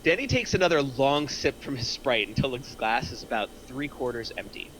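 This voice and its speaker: Male narrator, scripted stage directions, bright forceful tone, mid pitch, slight background noise. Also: very animated, moderate pace, low-quality recording. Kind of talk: scripted narration at a table reading.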